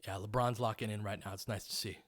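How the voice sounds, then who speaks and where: A man's voice: lowish voice, fairly even intonation, fast talker. Man calling basketball play-by-play, informal. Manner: informal, partisan fan asides; explains tactics in detail. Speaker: play-by-play commentator. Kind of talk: live basketball play-by-play with coaching analysis.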